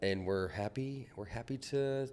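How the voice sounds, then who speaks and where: A Southern man co-hosting a local talk show, chatting loosely and restarting his sentences. His pitch is mid-range and his voice sits in the middle of the usual male range. He is recorded in a studio. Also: measured pace, a little mellow. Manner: rambling, self-correcting, chatty. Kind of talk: casual chat.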